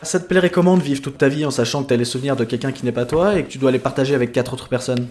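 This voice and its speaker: A fast-talking male voice at a middling pitch lectures with impatience. It is an actor playing a know-it-all clone technician in a comic audio drama, irritable and resigned to teaching. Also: a little mellow, a little hiss or hum, natural voice. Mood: exasperated. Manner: didactic, impatient, foul-mouthed.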